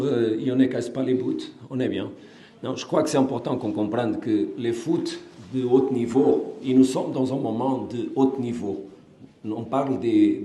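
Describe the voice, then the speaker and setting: Portuguese-accented man, low and mellow. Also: echoey room. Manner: measured, halting non-native French with many fillers and restarts. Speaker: football coach. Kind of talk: post-match press conference.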